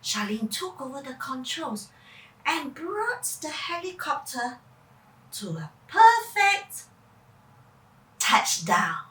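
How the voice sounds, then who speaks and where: A woman's voice: high voice, sing-song, takes it slowly, long pauses, fairly bright tone. A woman giving a theatrical children's story reading, putting questions to her young listeners. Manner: theatrical, puts questions to child listeners, vocal sound effects. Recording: echoey room, far from mic.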